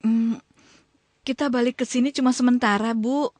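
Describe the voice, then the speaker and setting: Casting: actress for long-suffering young wife, gentle and resigned, fairly high, close dry sound, radio drama. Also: female voice, steady tone, normal speed, no room sound, lightly acted. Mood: patient, resigned. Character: patient, struggling young wife and daughter.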